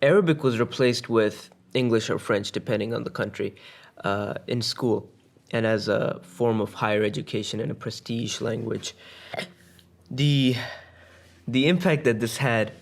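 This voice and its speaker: A man co-hosting a podcast discussion, explaining his points in a measured, lecture-like way. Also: medium pitch. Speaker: podcast co-host. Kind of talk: casual panel discussion.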